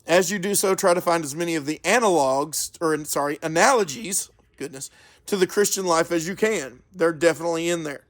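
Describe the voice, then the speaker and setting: A man hosting a solo podcast in an informal, earnest way, halting and correcting himself as he goes. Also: fairly high pitch. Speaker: podcast host.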